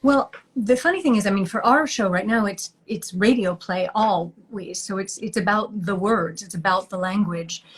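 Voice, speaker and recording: Actress lady on a video call interview, mid pitch, laughs a lot, thoughtful answers. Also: normal speed, few pauses, slightly rough. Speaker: stage actress, guest.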